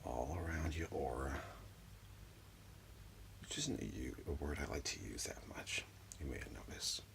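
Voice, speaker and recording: A man doing soft-spoken ASMR as an energy healer, murmuring soothingly and repetitively in a breathy, gravelly voice, close up in a small room at home. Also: normal speed, background noise. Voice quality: whispery, breathy, low and gravelly. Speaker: ASMR performer giving a reiki session.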